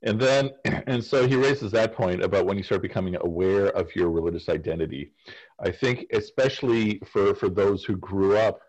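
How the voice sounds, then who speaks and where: A man's voice: deep voice, a little mellow, highs cut off. A man leading a class discussion as professor over a video call, asking students questions in a casual, conversational way. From United States.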